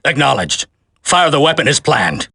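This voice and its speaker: An actor playing a grim, principled terrorist colonel in a video game, speaking in solemn, measured speeches. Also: male voice, medium pitch, sing-song, creaky, sharp tone, breathy edge, dry recording, studio quality, exaggerated voice. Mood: commanding. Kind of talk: video game cutscene acting.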